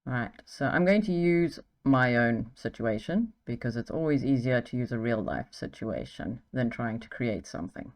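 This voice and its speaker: A woman's voice: a bass voice, lively intonation, normal speed, few pauses. A woman with a South African accent, giving an exam-tips English lesson in an encouraging way, checking in with "okay" as she goes. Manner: encouraging, uses okay and right often. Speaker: English teacher.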